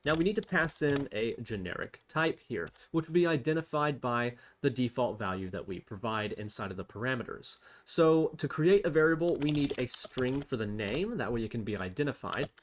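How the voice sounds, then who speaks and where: A male tutorial host with a mid-range voice walks through code in an unscripted way. He reasons out loud one step at a time as he types, speaking as an instructor to people following along. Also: medium pitch, animated, moderate pace, hardly any pauses, slight background noise. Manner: thinks aloud step by step while typing. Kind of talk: unscripted screen-recorded coding tutorial.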